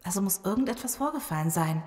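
Actress as female virologist, earnest, explanatory, medium pitch, zombie radio play, long reverb. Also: lively intonation, fast talker, heavy background noise, lightly acted. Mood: uneasy. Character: earnest woman virologist surviving a zombie apocalypse. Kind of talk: audio drama acting.

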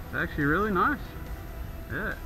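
A man's voice: high-pitched, animated, normal speed, background noise. A man, giving casual running commentary while fishing from a kayak, whooping when the fish bite. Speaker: kayak angler filming his trip. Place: on a kayak out on open water. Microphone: action camera on the kayak.

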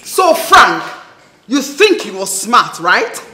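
An actress playing a scornful young jilted lover, taunting and throwing words back in a film melodrama. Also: female voice, on the high side, sing-song, slow pace, sharp tone, reverberant, faint music behind, heavily acted. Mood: furious. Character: scornful, wronged jilted lover confronting a man.

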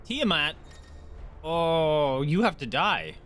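A man's voice: on the high side, sing-song, takes it slowly, a bit bright, faint music behind. Man chatting casually on a game stream. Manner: informal, rambling asides to viewers. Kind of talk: casual chat while livestreaming a game.

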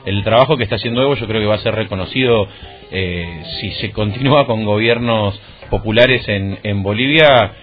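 A man's voice: on the low side, normal speed. A man with a Rioplatense Argentine accent hosting a radio interview and adding political commentary. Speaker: radio host. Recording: tinny phone-like sound over music.

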